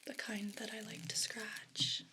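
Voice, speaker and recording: A woman voicing a haughty, bossy evil cat in a breathy whispered ASMR role play, speaking with imperious condescension. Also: fairly high pitch, completely flat delivery, sharp tone, poor audio. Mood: smug, possessive. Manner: imperious, slow and deliberate condescension.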